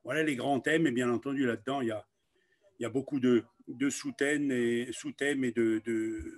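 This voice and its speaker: Halting, deliberate Frenchman presenting. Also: medium pitch, steady tone. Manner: halting, deliberate, with frequent hesitant pauses. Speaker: local elected official. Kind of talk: informal presentation in an online meeting.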